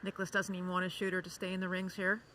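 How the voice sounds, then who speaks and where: Male color commentator, high and bright voice, joking and light, faint arena hum. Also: monotone, racing. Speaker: curling commentator. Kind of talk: sports commentary.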